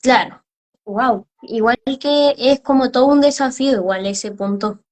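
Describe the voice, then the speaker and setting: Higher-voiced Chilean woman host. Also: a little slow. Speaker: interview host. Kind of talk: casual interview chat.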